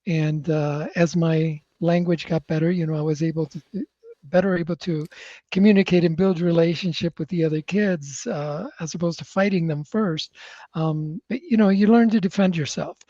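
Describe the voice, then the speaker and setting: A man with a slightly high voice and Spanish as his first language speaks as a podcast guest. He tells his story in a measured, reflective, conversational way with many pauses. The recording is dry and a little dull. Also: fairly even intonation, warm tone, dry recording. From born in Chile, grew up in the United States.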